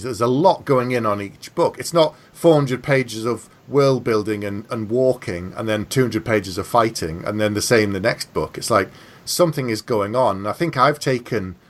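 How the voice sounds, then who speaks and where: A man chatting casually on a book podcast, opinionated and conversational, acting out little imagined exchanges to make his points. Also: on the low side.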